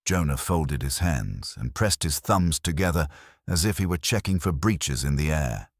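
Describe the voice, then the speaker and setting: A man reading a scripted first-person detective story in a wry, measured way. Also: extremely low pitch, animated, no echo, clean studio sound. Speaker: audiobook narrator.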